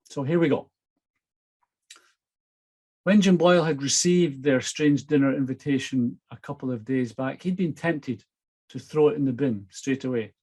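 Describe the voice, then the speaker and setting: A man's voice: medium pitch, moderate pace, pauses often, darkish tone, slightly rough. Scottish man, chatty author. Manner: enthusiastic, chatty. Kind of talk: chatty intro and reading from his novel.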